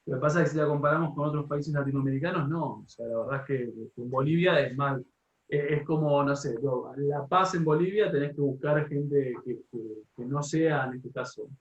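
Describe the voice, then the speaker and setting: A man with an Argentine accent leading an informal class discussion as a teacher, often halting, repeating and rephrasing as he goes. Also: fairly high pitch, muffled recording. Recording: echoey room, distant from microphone.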